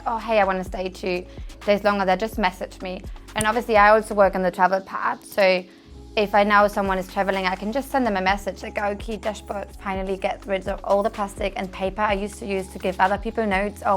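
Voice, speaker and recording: A woman from Germany, talking chattily and casually as a hostel receptionist. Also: mid-range voice. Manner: chatty, acts out small examples. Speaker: hostel receptionist and travel agent. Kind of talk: casual testimonial.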